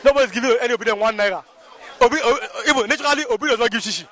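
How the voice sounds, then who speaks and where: A man's voice: extremely high pitch, racing, a bit bright, a faint crowd. A man with a Nigerian Yoruba accent speaking as a local movement spokesman, emphatic and repetitive, often checking "you understand?". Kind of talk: impassioned street interview.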